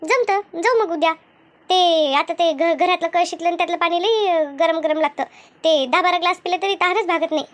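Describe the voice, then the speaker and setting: A woman voicing a chatty, good-humoured village gossip in an animated comedy, with a rural Maharashtrian accent and exclaiming, colloquial talk. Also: extremely high pitch, normal speed. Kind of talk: animated cartoon comedy dialogue.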